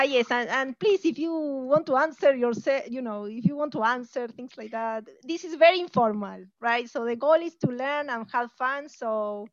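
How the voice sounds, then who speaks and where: Argentine woman math lecturer on a video call, high voice, dry muffled sound. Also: a bit bright, no room sound. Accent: Argentine Spanish. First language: Spanish. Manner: friendly, laughs often, many fillers. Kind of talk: informal online lecture.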